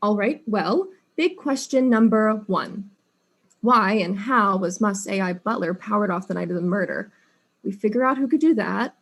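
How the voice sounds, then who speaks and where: A woman's voice: mid-range voice, expressive, moderate pace. An inquisitive, courteous detective, played by a woman in a mystery drama. The voice is mid-range and everyday, with an earnest, slightly hesitant way of putting questions. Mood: brisk deliberation.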